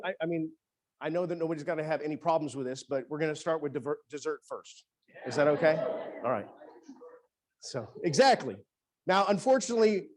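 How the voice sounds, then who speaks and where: A man, an executive chef, giving a casual cooking demonstration in a teaching kitchen, talking chattily with many restarts. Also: fairly high pitch.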